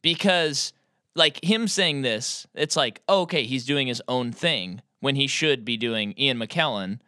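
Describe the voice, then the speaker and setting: A man's voice: medium pitch, expressive, sharp tone, no echo, clean studio sound. A man co-hosting a podcast, giving opinionated, joking movie takes in casual chat. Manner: opinionated, quick to joke about his own hot takes. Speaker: podcast co-host.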